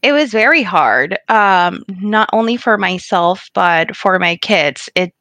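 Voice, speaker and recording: A middle-aged woman from Puerto Rico chats easily as a podcast guest and laughs often as she talks. Her voice sits at an ordinary middle pitch. It is recorded close and dry, with almost no room sound. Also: expressive, a little slow, bright, forceful tone, no echo. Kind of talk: casual interview conversation.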